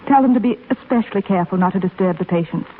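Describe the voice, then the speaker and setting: A woman's voice: medium pitch, racing, close to an everyday voice. A woman playing a composed, authoritative head nurse in a 1930s radio drama. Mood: composed, grave. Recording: tinny, hissy 1930s radio broadcast.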